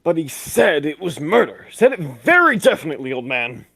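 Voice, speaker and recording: A man acting a pompous, excitable old-fashioned gentleman sidekick in a 1940s radio play, with flowery exclamations. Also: fairly high pitch, moderate pace, creaky, husky, no room sound, heavily acted. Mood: puzzled.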